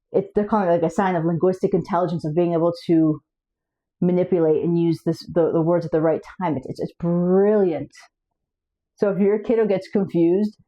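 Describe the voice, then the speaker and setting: A woman's voice: on the low side, dark tone, muffled recording. A woman hosting her own channel, talking casually to camera about raising kids with several languages, often restarting words and checking her notes. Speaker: language coach and channel host.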